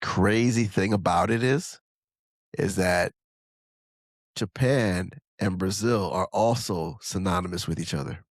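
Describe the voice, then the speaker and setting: A man's voice: sits low, a little slow, no echo. A man co-hosting a podcast in casual chat, laughing as he talks and slipping into voices to act out what people say. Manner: laughs while talking, acts out quoted voices. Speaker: podcast co-host.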